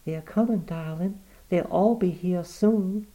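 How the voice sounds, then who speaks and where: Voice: mid-range voice, measured pace, mellow, muffled recording, pitched above the performer's own voice, lightly acted. A man reading aloud, voicing a worried middle-aged daughter who soothes her dying mother. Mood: anxious. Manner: soothing, anxious.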